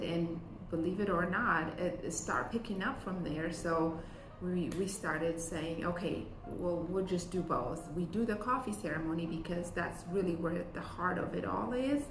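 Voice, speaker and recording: A woman from Ethiopia, a business co-founder, talking earnestly in an interview, with many pauses and ums. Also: on the low side, background noise. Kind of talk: interview talk. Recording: roomy sound with music behind. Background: music.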